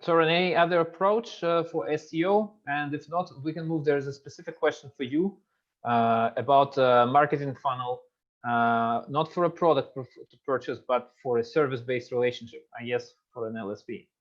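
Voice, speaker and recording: Guy moderating a webinar q&a, brisk, dull muffled sound. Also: medium pitch. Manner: brisk, hands questions to panelists. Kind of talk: moderating audience questions.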